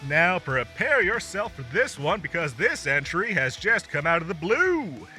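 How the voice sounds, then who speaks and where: A man's voice: a little high, big pitch swings, measured pace, pushed and bright, faint music behind, exaggerated voice. A man playing an upbeat demon radio host, chatting through a chart countdown in showy, teasing announcer patter for an audio roleplay. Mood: chatty, showy.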